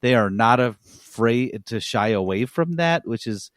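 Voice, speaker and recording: A man's voice: medium pitch, lively intonation, a little slow, no room sound, clean studio sound. A man co-hosting a podcast, talking about games in a casual, enthusiastic, informal way. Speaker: podcast host. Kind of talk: casual gaming chat.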